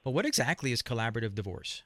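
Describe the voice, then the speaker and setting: A man's voice: mid-range voice, very animated, racing, fairly bright tone, no room sound. A man hosting an interview show, asking long, hesitant questions full of restarts. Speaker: show host.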